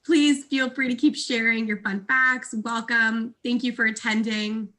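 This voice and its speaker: A woman's voice: on the high side, fairly even intonation, unhurried, sharp tone. A woman moderating a webinar, welcoming attendees with enthusiasm and introducing the speakers. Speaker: event moderator.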